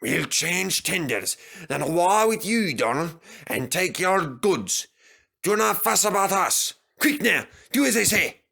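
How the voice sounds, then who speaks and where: A man voicing a brisk, commanding engine driver hatching a scheme. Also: sits high, very animated, vocal fry, bright, forceful tone, voice pushed up, exaggerated voice. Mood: urgent.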